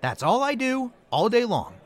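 A man's voice: very high-pitched, big pitch swings, moderate pace. A man playing a cocky, argumentative call-centre worker in an audio drama, with a self-righteous manner. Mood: cocky, needling.

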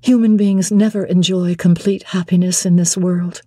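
A woman's voice: lowish voice, fairly even intonation, moderate pace, warm tone, no echo, clean studio sound, natural voice. A woman voicing a shy young governess in love in a classic novel, hesitant, modest and earnest. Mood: doubting, earnest. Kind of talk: dramatic classic novel reading.

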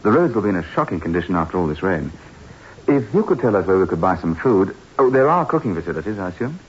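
An actor playing a confident, curious writer husband in a radio drama, hearty and well-spoken. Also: male voice, deep voice, sing-song, fast, breathy edge, heavily acted. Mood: eager, confident. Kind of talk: 1960s radio drama acting. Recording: muffled, noisy old radio recording.

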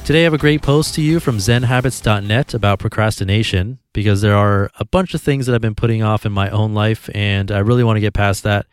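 Man, podcast host, low voice, calm reading, dry close mic. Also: a little fast, few pauses, clean tone, no room sound, faint music behind. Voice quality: calm, even voice.

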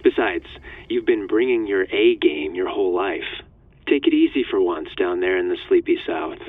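Brief: man playing dry, teasing, protective older brother, low voice, tinny phone line, audio drama. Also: lively intonation, normal speed, no room sound. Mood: teasing, guarded. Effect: phone call filter.

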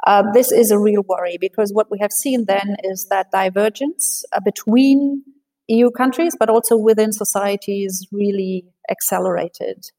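A woman speaking as an expert guest in an interview, answering in a measured, analytical way with frequent fillers and restarts. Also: mid-range voice, steady tone, mellow, low-quality recording.

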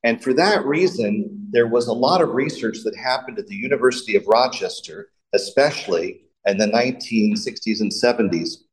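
A man answering interview questions, explaining drug chemistry in a measured, methodical way. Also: mid-range voice, expressive, low-quality recording. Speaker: pharmaceutical company executive.

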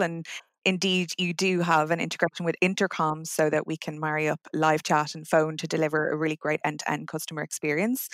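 A woman's voice: low-pitched, no echo, studio quality. A woman conducting an interview with prepared, polished questions, speaking in an articulate, professional and affirming manner.

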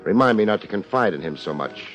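Man acting a low-voiced, wisecracking private eye. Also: lively intonation, loud music behind the voice, lightly acted. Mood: wry, laid-back. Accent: American. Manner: dry, wisecracking, unhurried. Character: laid-back, wisecracking male private investigator, tough and wry. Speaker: lead actor and first-person narrator. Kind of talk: 1950s radio detective drama acting. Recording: dull, noisy old radio recording.

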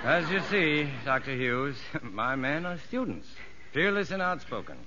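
Kindly, expressive doctor. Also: male voice, on the high side, background noise. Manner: measured, well-spoken. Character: kindly, sincere prison psychologist looking back. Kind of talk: 1950s radio drama acting and narration. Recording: dull vintage radio recording with music.

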